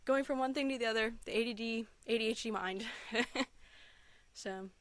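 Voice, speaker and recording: A woman's voice: a little high, normal speed, smooth, dry recording. A young adult woman chatting casually and enthusiastically as an interview guest, often giggling as she talks. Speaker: interview guest, content creator. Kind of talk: casual chat, answering interview questions.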